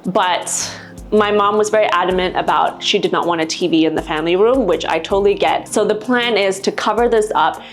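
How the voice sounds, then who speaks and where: A woman's voice: medium pitch, brisk. A woman vlog host from Singapore giving a casual, chatty house tour indoors, explaining things conversationally to camera. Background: background music.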